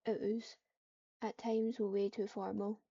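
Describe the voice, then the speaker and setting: A woman's voice: mid-range voice, flat delivery, slow, vocal fry, mellow, breathy edge, no echo. A woman narrating an online roleplay story, reading out the action between lines of character dialogue. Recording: dull, lo-fi, distant microphone.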